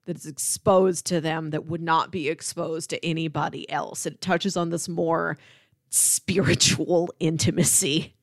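A woman's voice: deep voice, steady tone, unhurried, vocal fry, no room sound. A woman co-hosting a film podcast, talking casually and analytically, peppering her thoughts with "like". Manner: chatty, analytical, frequent "like". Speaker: podcast co-host reviewing films.

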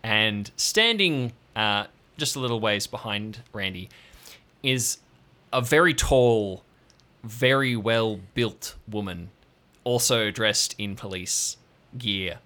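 A man narrating as game master of an improvised audio drama, in relaxed, descriptive narration with frequent ums. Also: lowish voice, takes it slowly.

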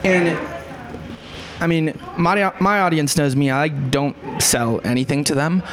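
A man's voice: on the high side, a little slow, noisy background. A man hosting a podcast, upbeat and hyping his guest in a casual, slangy way. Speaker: podcast host.

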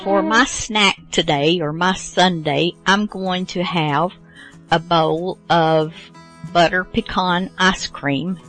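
A woman's voice: lowish voice, steady tone, takes it slowly, over music. A middle-aged woman hosting a radio show, chatting casually and rambling with many fillers. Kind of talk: casual chat.

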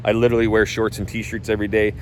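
Blunt, cursing man with a low voice. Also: monotone, fast, a little hiss or hum. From North Idaho. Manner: blunt, profane and emphatic. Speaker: real estate agent and coach. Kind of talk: casual talk.

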